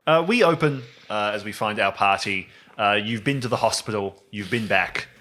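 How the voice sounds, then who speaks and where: A man chatting and improvising narration as a game master, in a halting, descriptive way. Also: fairly low pitch. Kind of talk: improvised narration and casual chat among friends. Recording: music behind the voice.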